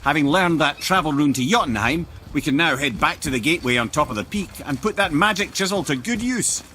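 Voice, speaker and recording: An actor playing a clever old sage's talking severed head in a video game, wry, talkative and fond of explaining. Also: male voice, fairly high pitch, lively intonation, fairly quick, pushed and bright, slight background noise. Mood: informative, wry. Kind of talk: dramatic video game acting.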